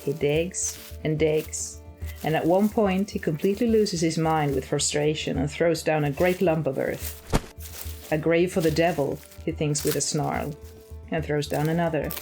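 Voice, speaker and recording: A woman with a slightly low voice narrates a piece of fiction. Her reading is scripted and expressive, and a plucked guitar plays behind it. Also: moderate pace, poor audio. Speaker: story reader.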